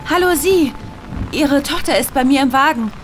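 An irritable woman driver played by an actress with a sarcastic edge and a fairly high voice. She speaks in a horror radio play. Rain and vehicle noise are clearly heard behind her. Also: normal speed, close to an everyday voice. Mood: alarmed, horrified. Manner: sarcastic, exasperated.

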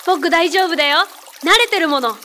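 A woman's voice: very high-pitched, fast, pushed and bright, a little hiss or hum. A woman voicing a cheerful, curious young boy with an eager, childlike manner in a radio drama. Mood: eager, obedient.

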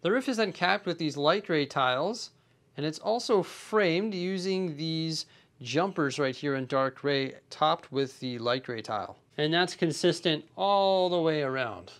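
A man's voice: fairly high pitch, expressive, measured pace, fairly bright tone. A young man reviewing a building set, enthusiastic but nitpicky, explaining details as he handles the pieces. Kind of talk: hands-on product review.

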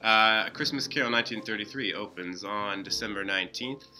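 A young man hosting a casual interview, speaking in halting, hesitant phrases. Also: lowish voice, sharp tone, soft background music, rough recording. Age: young adult. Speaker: interview host, playwright. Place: indoors, small hall with cinder-block walls.

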